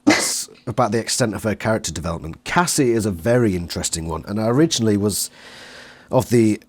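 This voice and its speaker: A man's voice: on the low side, fast. A man talking casually as an interviewer and reviewer in a fan discussion.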